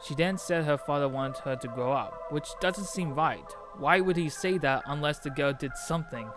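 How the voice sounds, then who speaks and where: Higher-pitched man narrating over clear music. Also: moderate pace. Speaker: video essayist narrator. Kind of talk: scripted narration with casual asides. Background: background music.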